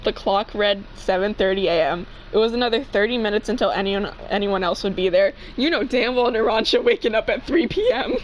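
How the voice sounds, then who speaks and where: A woman's voice: mid-range voice, fairly quick, few pauses, slight background noise, low-quality recording. A young woman casually reading fanfiction aloud with joking banter, giggly and free with swearing. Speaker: fanfiction reader.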